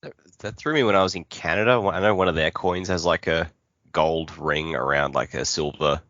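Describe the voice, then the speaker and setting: A man's voice: a bass voice, normal speed, a bit bright, no echo, muffled recording. A man co-hosting a podcast in casual chat, chipping in with informal, sometimes sweary asides. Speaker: podcast co-host.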